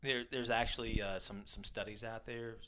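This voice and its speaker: Bright-toned man rambling in his thirties. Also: fairly low pitch, steady tone, moderate pace, slight background noise. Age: 30s. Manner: rambling, self-deprecating, trails off. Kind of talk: casual podcast chat.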